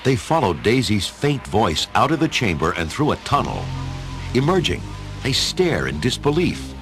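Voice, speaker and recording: A man's voice: on the low side, wildly swooping pitch, moderate pace. A man giving theatrical scripted narration for a radio adventure story. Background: music.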